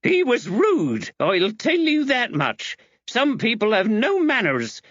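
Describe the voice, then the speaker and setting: A man's voice: very high voice, wildly swooping pitch, takes it slowly, a bit bright, no room sound, dull audio, voice pushed up, full character voice. A man voicing a grumbling, indignant older housekeeper woman in an audiobook, huffy and complaining.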